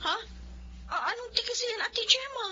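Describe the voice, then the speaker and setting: A woman's voice: extremely high pitch, moderate pace, sharp tone, full character voice. A woman playing a pushy, money-minded young wife and mother in a Filipino radio drama, easily exasperated. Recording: muffled, clearly noisy radio drama audio.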